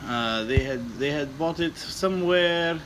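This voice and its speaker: A wistful Bulgarian played by a man reminisces in halting, deadpan broken English. His bright, forward voice moves slowly, over faint background noise. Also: fairly high pitch, poor audio. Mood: solemn, wistful. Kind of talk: improvised comic in-character storytelling.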